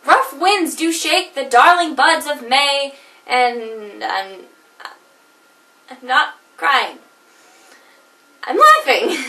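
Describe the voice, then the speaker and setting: A woman's voice: very high-pitched, sharp tone, roomy sound, distant mic, full character voice. A woman playing a jilted wife laughing through tears. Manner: wry, theatrical, teetering between laughter and tears. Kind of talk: comedic monologue performance.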